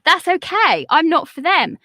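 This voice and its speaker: Brief: English woman in her forties, upbeat and encouraging guest, tense bright voice, dry close sound. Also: very animated, measured pace, dry recording. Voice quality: high-pitched. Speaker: podcast coach guest. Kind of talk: casual interview chat.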